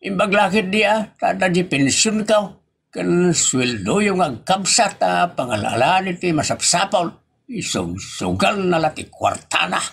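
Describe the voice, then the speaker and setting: A man playing a gravelly, grumbling father. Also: high-pitched, animated, unhurried, fairly bright tone, full character voice. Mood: scolding. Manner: scolding, teasing. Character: grumbling middle-aged husband and father. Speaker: voice actor in an audio drama. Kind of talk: comedic audio drama acting.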